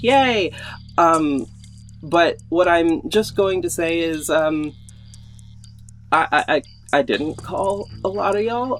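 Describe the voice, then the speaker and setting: A man hosting a podcast, talking casually to listeners in a hesitant, rambling, gushing way about behind-the-scenes matters. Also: on the high side. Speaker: podcast host, audio drama creator and director. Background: music under the voice.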